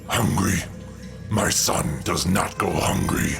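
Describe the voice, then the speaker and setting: Menacing alien tyrant, heavy gravel, slow delivery, hoarse, motion comic villain. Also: male voice, low voice, over music, heavily acted. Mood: stern, protective.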